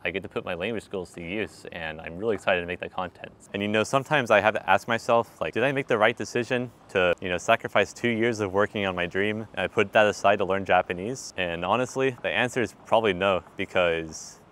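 A young man in his twenties talking straight to the camera in a wry, animated vlog, recorded outdoors on a city street at night with a clip-on mic. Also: on the low side, quick pace, few pauses. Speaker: vlogger. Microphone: small clip-on mic on his shirt.

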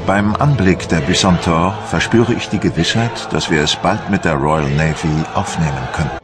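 An actor playing a proud, dutiful French admiral who recounts his campaign in a solemn, measured, formal way for a documentary radio play. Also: deep voice, music in the background, lightly acted. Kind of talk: dramatised documentary narration.

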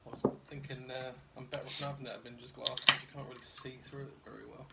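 Man with a gravelly voice. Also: mid-range voice, steady tone, fast, very noisy. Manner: chatty and rambling, laughs at himself. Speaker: beer reviewer. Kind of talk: casual chat to camera. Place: kitchen at home, indoors.

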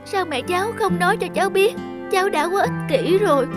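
Young cartoon girl, very high voice, emotional, pleading, music behind. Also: animated, brisk. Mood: worried, remorseful. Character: distressed, bitter young girl who lost her leg. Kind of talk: cartoon drama acting.

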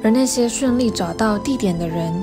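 A woman reading a calm, earnest scripted voice-over for a travel video. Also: a little high, a little fast. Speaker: narrator. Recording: voice-over over loud background music.

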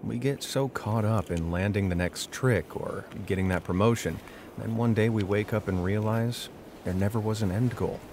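A man narrating a short film in a calm, wistful, measured way, reading a scripted reflective voiceover. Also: on the low side, a little hiss or hum.

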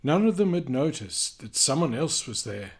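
A man reading a children's picture book aloud, gently and expressively, with chatty asides. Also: on the high side, very animated, moderate pace, husky.

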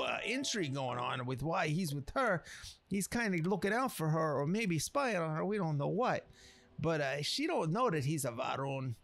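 A man with a gravelly voice, playing a streetwise storyteller in rambling, slangy talk over gameplay. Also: on the high side, fairly bright tone. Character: streetwise storyteller recounting a local legend. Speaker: let's player narrating a roleplay story. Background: game music.

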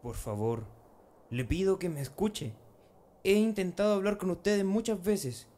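Man doing humble ex-persecutor in radio play, pleading, high mellow voice, swoops around. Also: lively intonation, unhurried. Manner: pleading, earnest. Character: reformed male persecutor turned humble pleading convert. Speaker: radio drama actor. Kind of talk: amateur religious radio drama.

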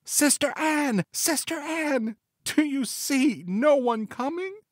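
A man's voice: very high voice, lively intonation, takes it slowly, dry recording, studio quality, pitched above the performer's own voice, exaggerated voice. A man voicing a terrified young wife in a folktale, crying out and beseeching in tearful desperation. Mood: desperate pleading.

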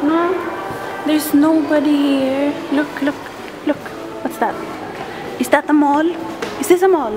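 A woman's voice: high voice, unhurried, long pauses, music in the background. A young woman vlogging casually on a handheld camera, walking outdoors and through a mall, giggling and dropping in Tagalog words. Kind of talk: casual vlog chat. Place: outdoors on a bridge, then inside a mall and shops. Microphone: handheld camera at arm's length.

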